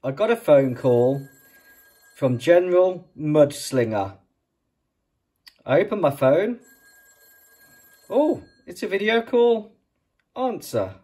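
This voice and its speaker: Man, higher-pitched voice, unhurried, deadpan comic storyteller, scripted narration. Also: breathy edge.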